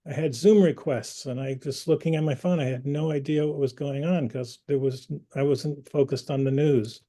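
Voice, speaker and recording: A man hosting an online community meeting, speaking earnestly and personally, pausing with fillers and restarts. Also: medium pitch, fairly even intonation, dark tone. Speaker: community group host.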